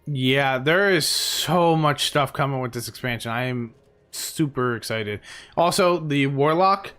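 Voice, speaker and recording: A man's voice: mid-range voice, measured pace, fairly bright tone. A man streaming a game live, chatting casually and rambling with plenty of fillers, tired and losing his voice.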